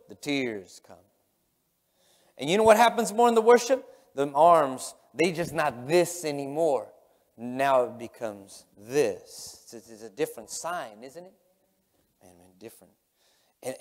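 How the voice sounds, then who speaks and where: A middle-aged man preaching a sermon in an emphatic, repetitive way from a church stage. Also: a little high. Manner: emphatic, repetitive, swinging from loud exclamations to hushed murmurs. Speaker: pastor preaching. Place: large church sanctuary, on stage. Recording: long hall reverb from sanctuary.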